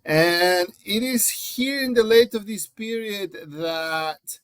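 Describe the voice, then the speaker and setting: Slow-paced Mexican-accented man teaching. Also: high voice, a bit bright, clean tone, no room sound. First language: Spanish. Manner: explanatory, conversational, addresses listeners directly. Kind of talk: informal recorded lecture to a class.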